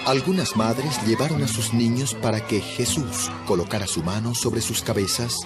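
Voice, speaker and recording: Guy narrating audio bible story, measured delivery, mid pitch, clear music bed behind him. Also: over a noisy crowd. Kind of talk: scripted dramatized Bible narration.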